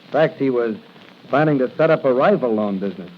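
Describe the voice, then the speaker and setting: A man's voice: mid-range voice, expressive, brisk, natural voice. An actor playing a confident young policeman hero in 1940s radio style, on a muffled, hissy old recording. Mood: confident, determined. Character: confident crime-fighting young policeman hero with secret masked identity.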